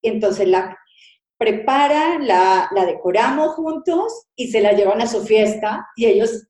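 A middle-aged woman born in Colombia, a cake designer, telling her story in a lively conversational way and acting out little dialogues. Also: on the high side, unhurried, echoey room. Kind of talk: conversational interview.

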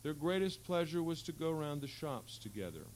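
A man reading literary fiction aloud at a live event, with a measured, articulate storytelling delivery. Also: fairly high pitch, sing-song, darkish tone, poor audio. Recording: faint hum and background noise.

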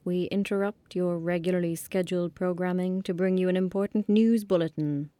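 A woman voicing a girl's pretend radio newsreader, grave, official and deliberate, with an Irish accent, in an audio drama. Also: on the low side, fairly even intonation, warm tone, no room sound, close to an everyday voice. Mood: grave, urgent. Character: solemn pretend radio newsreader issuing an emergency bulletin. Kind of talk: mock radio news announcement.

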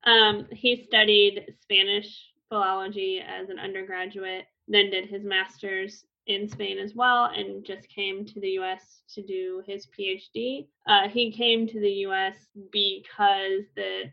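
A woman's voice: medium pitch, measured pace, gravelly, few pauses. Woman, thirties, casual talk, filler words, muffled recording. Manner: relaxed, with frequent fillers and restarts. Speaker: Spanish instructor. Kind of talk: casual conversational explanation.

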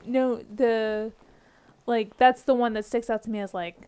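Earnest woman podcast host, fairly high voice. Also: fairly even intonation, measured pace, warm tone, smooth. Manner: earnest, explains carefully, hesitant with fillers. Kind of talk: casual chat.

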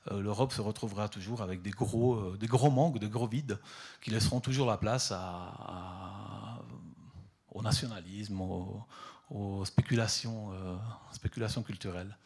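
A middle-aged man from southern Italy speaks about his work in a fairly low voice. He gropes for words, repeating himself and filling gaps with hesitations. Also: a little mellow, breathy edge. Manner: halting, many fillers and repeated words. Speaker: photographer. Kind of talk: hesitant conversational talk at an exhibition.

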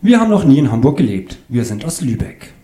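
A man's voice: medium pitch, very animated, quick pace, mellow, roomy sound, voice pushed up, close to an everyday voice. A man voicing a middle-aged woman, a cashier with a fluting manner, in a comic story reading. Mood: chirpy.

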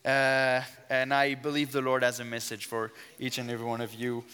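A man's voice: medium pitch, completely flat delivery, a little fast, bright, forceful tone, clear, resonant tone. A man with a strong French accent, whose first language is French, preaching a sermon in a church and praying fervently with earnest emphasis. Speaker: guest preacher. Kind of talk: sermon with opening prayer. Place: church sanctuary. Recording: long hall reverb of a church.

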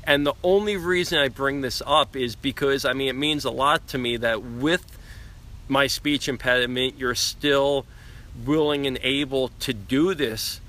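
A man's voice: mid-range voice, measured pace, sharp tone. A man from Long Island with a speech impediment, speaking earnestly as a podcast guest outdoors, often repeating words. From Long Island, New York. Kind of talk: casual heartfelt conversation. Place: outdoors in the cold.

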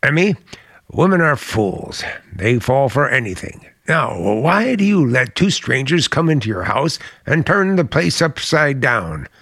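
An actor playing a charming but secretive and bitter uncle in an old-time radio drama, hesitant one moment and sharp the next. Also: male voice, mid-range voice, big pitch swings, unhurried, no echo, studio quality, exaggerated voice. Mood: scornful.

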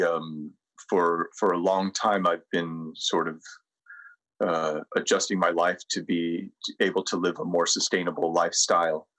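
Middle-aged man, American accent, deep voice, very slow pace, reflective podcast guest. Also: fairly bright tone, poor audio. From United States. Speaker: podcast guest, sustainability consultant. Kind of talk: reflective interview talk and guided presentation.

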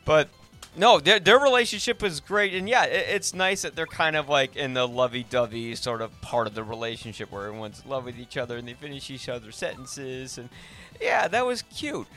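A man hosting a podcast, talking casually about film in an animated, halting way that often repeats words. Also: medium pitch, faint music behind. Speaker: podcast host.

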